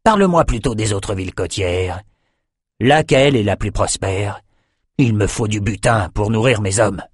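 A man's voice: on the low side, moderate pace, slightly rough, dry recording. Brief: man reading curt, commanding male general, hard and impatient, dry close mic. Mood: stern. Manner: clipped, commanding.

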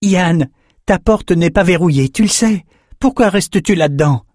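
A man's voice: high voice, expressive, normal speed, a little mellow, no room sound, clean studio sound, pitched above the performer's own voice. A man voicing an earnest young swordsman in an audiobook, urgent and imploring, given to pleading and exalted calls. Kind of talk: audiobook character acting.

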